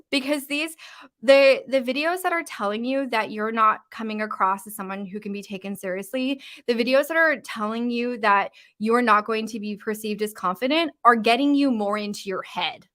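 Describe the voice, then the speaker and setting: Bright-voiced Canadian woman with uptalk. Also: a little high, moderate pace. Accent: Canadian, West Coast. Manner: uptalk, informal with frequent fillers. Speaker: confidence coach. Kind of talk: casual live-stream talk. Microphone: live-stream mic.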